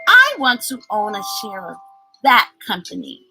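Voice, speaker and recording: A woman voicing an eager, curious young boy in a children's storybook, with wide-eyed, drawn-out delivery. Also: medium pitch, wildly swooping pitch, vocal fry, sharp tone, soft background music, full character voice. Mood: excited.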